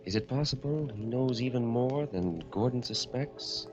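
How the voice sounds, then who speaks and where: A man's voice: medium pitch, moderate pace, close to an everyday voice. An actor as the foreboding, theatrical male announcer of an old-time radio suspense show. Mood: ominous. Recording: muffled old broadcast, loud music behind.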